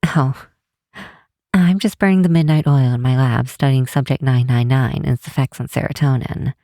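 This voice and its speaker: A woman's voice: extremely low pitch, moderate pace, dark tone, dry recording, clean studio sound. A woman voicing an affectionate, bookish vampire researcher in an ASMR roleplay, talking tenderly and teasingly with small hesitations. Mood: fond, musing. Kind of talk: ASMR roleplay, one side of a late-night phone call.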